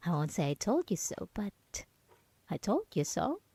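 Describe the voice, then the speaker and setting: A woman playing a smug, possessive, manipulative yandere roommate in an ASMR roleplay, taunting deliberately and at times slipping into a whisper. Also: lowish voice, very animated, mellow, slightly rough, dry recording, heavily acted. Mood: cold, bitter. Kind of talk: ASMR roleplay monologue, acted.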